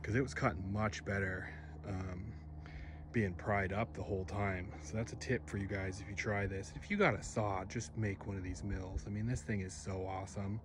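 A young man casually narrating his backyard work for his channel, relaxed and rambling with lots of ums. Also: fairly low pitch, moderate pace, background noise. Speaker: video creator. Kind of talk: casual narration while working. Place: outdoor backyard.

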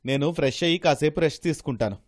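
A man's voice: fairly high pitch, very fast, no room sound, clean studio sound, lightly acted. A man playing a puzzled young husband in a story, plain-spoken and mildly grumbling. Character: hungry, puzzled young husband home from office.

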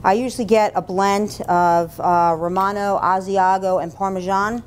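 Casting: middle-aged woman, medium-pitched voice, informal cooking instructor, slight background rumble. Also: steady tone, moderate pace. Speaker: cooking demonstrator, food and beverage director. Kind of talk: casual cooking demonstration. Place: indoor institutional kitchen. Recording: low rumble, faint room noise.

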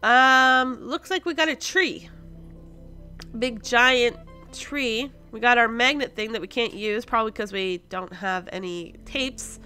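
A woman with a high, bright voice hosts a casual video game playthrough. She thinks aloud at an unhurried pace and breaks into exclamations of surprise. Faint music sits behind her. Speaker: host of a gaming series. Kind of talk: casual let's play commentary.

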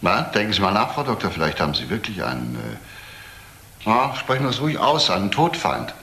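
An actor playing a middle-aged senior detective in a German crime radio play, questioning deliberately and insistently. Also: male voice, fairly low pitch, very animated, pushed and bright, roomy sound, exaggerated voice. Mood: probing, deliberate.

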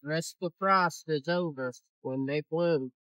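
A man's voice: fairly high pitch, a little slow, a bit bright, smooth, no echo, rough recording. A man in his forties making dry jokes while driving a utility vehicle outdoors, picked up on a passenger's phone. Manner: joking, dry quips. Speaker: homesteader, co-host. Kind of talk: casual banter. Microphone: phone held by a passenger.

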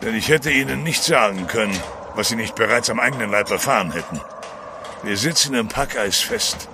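An actor playing a gruff, level-headed ship's captain in a German audio drama, firm and curt. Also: male voice, lowish voice, animated, normal speed, noisy background. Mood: calm, firm.

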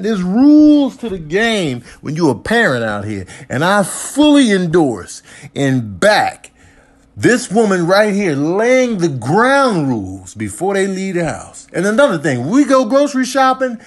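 A man giving casual, slangy comedic commentary as a parent, telling stories with mock indignation. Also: high voice.

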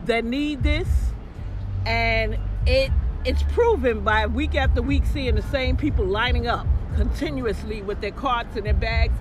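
A man's voice: very high-pitched, unhurried, music in the background. A man, a volunteer driver, chatting casually in a documentary interview.

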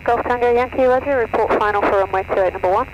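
A man's voice: very high-pitched, fast. A man working as an air traffic controller, giving clipped procedural instructions over the radio. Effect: aircraft radio transmission. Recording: muffled, noisy aviation radio. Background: radio and engine noise.

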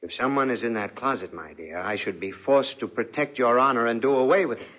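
An actor playing a coldly polite, jealous aristocratic husband in 1940s radio drama, suave, deliberate and mock-affectionate. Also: male voice, medium pitch, lively intonation, natural voice. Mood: quiet menace. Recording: dull, band-limited 1940s radio recording.

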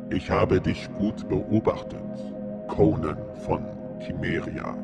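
A man's voice: deep voice, creaky, heavily acted. A man putting on a grave ancient sage spirit. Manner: solemn, measured, prophetic. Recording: music bed under the voice.

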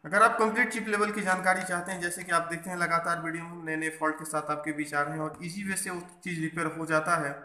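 High, bright-voiced man teaching repairs. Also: fairly even intonation, quick pace, few pauses. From India. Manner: explanatory, mixes in English technical terms. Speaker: phone repair instructor. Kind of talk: hands-on tutorial narration. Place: indoors at a repair workbench. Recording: echoey room, faint background noise.

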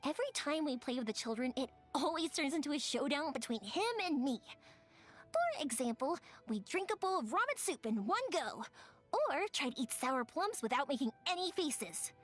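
A woman voicing a cheerful, warm-hearted young fireworks maker who tells stories in an animated, chatty way, as a video game character. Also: high-pitched, normal speed, faint music behind. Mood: playful. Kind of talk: video game character voice lines, conversational storytelling.

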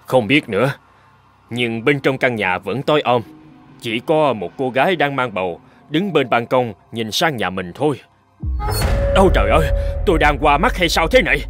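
A man's voice: medium pitch, animated, moderate pace, fairly bright tone, faint music behind, lightly acted. Man acting an anxious, exclamatory middle-aged cartoon husband. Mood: surprised, puzzled. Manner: exclamatory, muttering to himself. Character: stout middle-aged husband, curious, anxious, easily alarmed.

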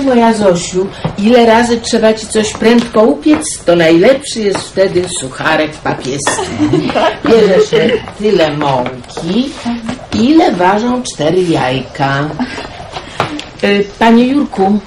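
An actress playing a bustling, plain-spoken country hostess in a radio drama, homely and chatty. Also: female voice, mid-range voice, expressive, takes it slowly, background noise. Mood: hospitable, bustling.

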